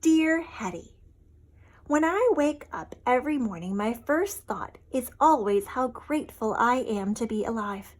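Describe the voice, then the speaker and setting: A woman voicing a grateful but puzzled, suspicious little hen in an expressive, emphatic storybook letter reading. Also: on the high side, a little slow.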